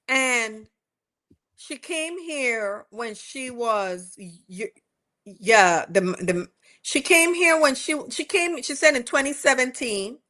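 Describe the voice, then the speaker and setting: High, bright-voiced West Indian woman. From West Indies. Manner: rambling, self-correcting, chatty. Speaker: livestream host. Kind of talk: casual chat recapping court testimony.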